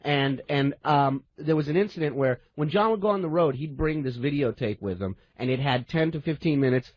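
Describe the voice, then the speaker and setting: A man's voice: a little high, fairly quick, dry recording. A man telling anecdotes casually in a radio conversation. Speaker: former caller to the show. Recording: dull, muffled lo-fi audio.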